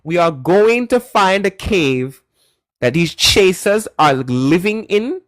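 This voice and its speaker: Brief: male debate-stream host, lively swooping voice, dry close sound, pushy interrogating questions. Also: high-pitched, slow pace, no room sound. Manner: lively, shouts and giggles at chat. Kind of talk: live call-in debate.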